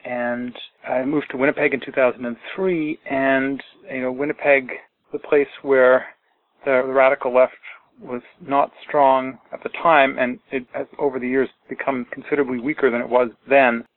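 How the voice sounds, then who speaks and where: A man in his forties, a socialist organizer giving a deliberate political analysis in an interview over a call. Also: mid-range voice, level tone, no echo. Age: 40s. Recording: tinny phone line.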